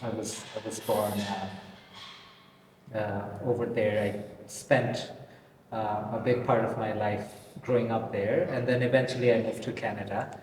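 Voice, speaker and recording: A man's voice: on the low side, level tone, unhurried, a little mellow. A man from Bangladesh, a guest artist in a casual interview, who speaks hesitantly with many fillers and restarts in an old building. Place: old pre-war building in Poland. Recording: distant mic, long reverb, noisy ambience.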